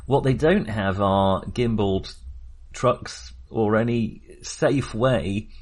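A man co-hosting a film discussion, talking casually and haltingly with a wry manner. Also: lowish voice, darkish tone. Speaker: film podcast co-host.

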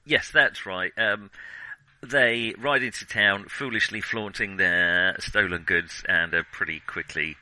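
A man hosting a casual film discussion, talking in a hesitant, halting and wordy way. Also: on the low side, sharp tone, rough recording. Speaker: film podcast host.

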